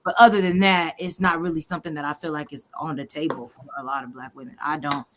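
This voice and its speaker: Fast-talking man, slightly high voice. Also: steady tone, background noise. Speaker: panelist. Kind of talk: casual live-stream discussion.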